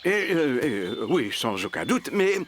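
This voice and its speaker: A man voicing a big, good-natured bear in a French cartoon dub, blustery and protective, with expressive, hesitant interjections. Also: medium pitch, unhurried, a little hiss or hum. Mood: calm, protective. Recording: music under the dialogue.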